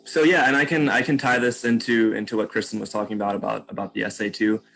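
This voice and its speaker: A man's voice: on the low side, little pitch movement, fast talker, gravelly, fairly bright tone, soft background music, rough recording. A young man from Virginia telling his background in a reflective way, with frequent "um". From Virginia, United States. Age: young adult. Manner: reflective, uses "um" often. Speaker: scholarship recipient guest. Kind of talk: casual interview answers.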